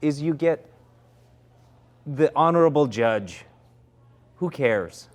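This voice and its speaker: A man's voice: a little high, animated, takes it slowly. A man in his forties, an actor, reflecting candidly in conversation, often restarting his sentences. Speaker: actor, interview guest.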